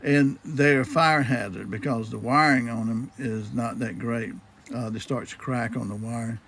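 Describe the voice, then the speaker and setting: A man with a medium-pitched voice explains a hands-on job as a hobbyist would. His delivery is casual and folksy, without a script. He circles back over his points and checks in with the viewer as he goes. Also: normal speed, creaky, a bit bright. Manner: folksy, asks and answers own questions. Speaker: hobbyist demonstrating old radio restoration. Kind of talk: casual hands-on demonstration, unscripted.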